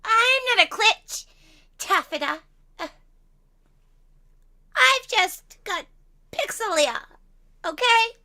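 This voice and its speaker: Cartoon kid racer: feisty, defiant girl, extremely high pitch, very sharp bright tone. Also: expressive, takes it slowly, long pauses, heavily acted. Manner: sassy, defiant. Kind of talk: animated film table read.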